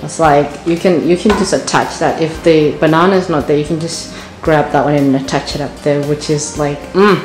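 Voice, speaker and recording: A woman's voice: low voice, fairly even intonation, normal speed, few pauses. A woman giving a casual home tour on a handheld phone, chatty and gushing, repeating words for emphasis. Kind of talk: casual house tour chat. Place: indoors, bright tiled home rooms. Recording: echoey home rooms with music behind. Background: background music.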